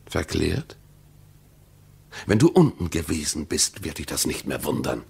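A secretive, entranced husband in a radio play. His deep voice is gravelly and very breathy, heavy with husk. He speaks raptly, as one keeping a wonder to himself. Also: sing-song, measured pace, a little mellow, full character voice. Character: entranced, secretive husband back from an underground palace.

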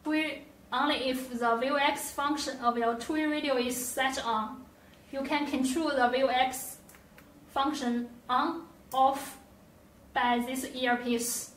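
A woman presenting a scripted product demonstration, with careful, halting, non-native phrasing. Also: high-pitched, fairly even intonation, a bit bright. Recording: roomy echo, distant mic, faint noise.

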